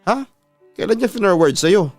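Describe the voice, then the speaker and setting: A man's voice: high-pitched, animated, normal speed, no room sound, faint music behind, voice pushed up. A man voicing a young boyfriend in a dramatic story, guilty, evasive and apologetic. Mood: cold, puzzled.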